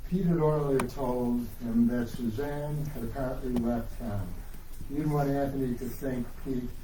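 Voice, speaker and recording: A man's voice: medium pitch, steady tone, moderate pace, dark tone. A man reading scripted narration at a live dramatic reading of a novel. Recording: roomy, distant mic, faint noise.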